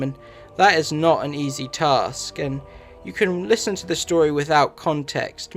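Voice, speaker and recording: A man narrates a review at a slow, measured pace. His voice sits a little high, phrases come haltingly, and faint music plays underneath.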